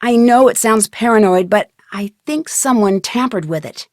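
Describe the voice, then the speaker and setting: A woman performs a young female amateur detective for a video game. Her voice sits a little high and is recorded very dry. She speaks in an earnest, upbeat and inquisitive way, polite with everyone she questions. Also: moderate pace, dry recording, close to an everyday voice. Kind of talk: scripted adventure game dialogue.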